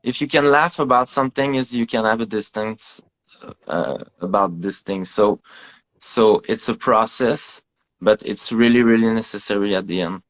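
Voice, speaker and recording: A man's voice: fairly low pitch, moderate pace, a bit bright, low-quality recording. A man, a film director whose first language is French, answering interview questions over a video call with a French-speaking Canadian accent, hesitating and searching for words. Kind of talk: casual online interview.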